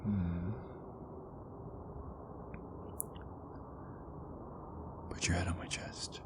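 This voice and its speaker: Very deep-voiced, whispery werewolf boyfriend, acted by a man. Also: level tone, normal speed, lightly acted. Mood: apologetic, loving. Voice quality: very deep, breathy, often dropping into whispers. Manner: hesitant, rambling, murmured pillow talk. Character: big affectionate male werewolf boyfriend, playful and apologetic. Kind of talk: intimate ASMR boyfriend roleplay. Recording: clearly noisy background ambience.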